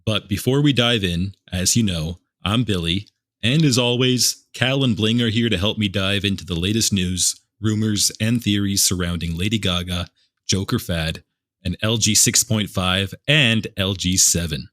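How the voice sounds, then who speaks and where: A man's voice: fairly low pitch, moderate pace, a bit bright, dry recording. A man hosting a fan podcast, opening with an upbeat scripted welcome and dry jokes. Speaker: podcast host. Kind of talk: scripted podcast intro and casual chat.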